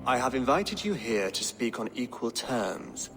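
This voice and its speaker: An actor playing a shrewd, pious Saxon king who speaks formally and with measured eloquence in a video game. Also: mid-range voice, sing-song, music in the background. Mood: measured, guarded. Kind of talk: dramatic video game dialogue.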